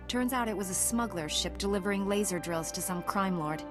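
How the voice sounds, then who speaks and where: A resolute female knight played by an actress in a video game. Her voice is mid-pitched, measured and formal, with composed assurance and faint music behind. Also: lightly acted. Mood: calm, resolute.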